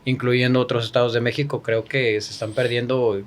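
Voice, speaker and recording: Brief: male interviewer, mid pitch, hesitant and filler-heavy. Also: flat delivery, a little fast. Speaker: interview host. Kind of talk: casual interview.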